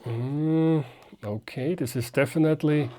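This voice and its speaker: A man presenting a casual, unscripted product unboxing, thinking aloud haltingly as he handles things. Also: fairly high pitch, dark tone, a little hiss or hum. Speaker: amateur radio video host.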